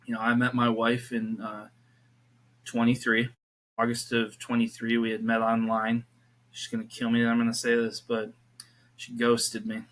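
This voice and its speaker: A man in his thirties tells stories from his life as a youth pastor and talks about faith in a relaxed podcast chat. His pitch stays in a narrow, even band, and his sentences wander through fillers and restarts. He comes across as sincere and unpolished. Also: normal speed. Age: 30s. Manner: earnest, rambling with many restarts and fillers. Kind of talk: casual faith conversation.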